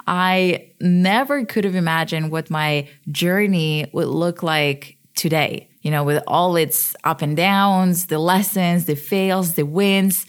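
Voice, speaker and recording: A woman in her thirties from Switzerland, hosting a podcast and telling her own story in a candid, conversational way that pokes fun at herself. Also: lowish voice. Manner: conversational, candid, self-deprecating. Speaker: podcast host. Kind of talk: personal storytelling monologue.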